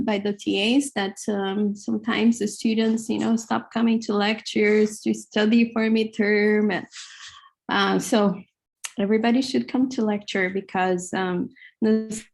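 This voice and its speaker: A middle-aged woman with a Hebrew accent giving a university lecture in a large hall, halting with many fillers. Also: mid-range voice, fairly even intonation, gravelly. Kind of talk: classroom lecture.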